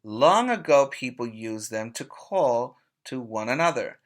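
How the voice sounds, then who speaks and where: A man teaching preschoolers by reading aloud in a deliberate, emphatic way, pausing to put questions to his young listeners. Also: medium pitch, expressive. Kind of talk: read-aloud lesson for young children.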